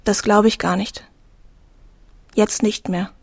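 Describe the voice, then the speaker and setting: A young woman playing a fragile but determined sixteen-year-old daughter, quiet and hesitant, with a breathy voice in a radio drama. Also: medium pitch, fairly even intonation, slow pace, no room sound. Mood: fragile, earnest. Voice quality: breathy, husky.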